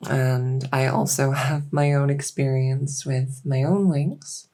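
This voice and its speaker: A woman playing a gentle fae doctor in a soft-spoken ASMR medical roleplay, reassuring and unhurried, sometimes slipping toward a whisper. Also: rumbling low, fairly even intonation, heavily acted. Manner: unhurried, reassuring, hesitant pauses. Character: gentle female fae doctor with buggy wings and scaly tail. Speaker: audio roleplay performer.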